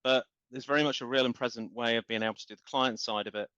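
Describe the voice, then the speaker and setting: A man's voice: medium pitch, steady tone, fast, a bit bright, clean tone, no room sound. Man, business guest, passionate and rambling, dry and muffled. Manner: passionate, talks in long run-on explanations with repeated words. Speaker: guest, marketing company founder. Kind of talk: business podcast interview. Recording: dull, lo-fi.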